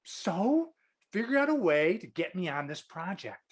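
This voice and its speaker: An actor plays a tactless, self-serving male boss in a screen comedy read. The delivery is very animated, leaping up and down in pitch. He blurts, stammers and backpedals. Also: a little slow. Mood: flustered, scheming. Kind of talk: comedic script acting. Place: remote video call reading. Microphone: video call.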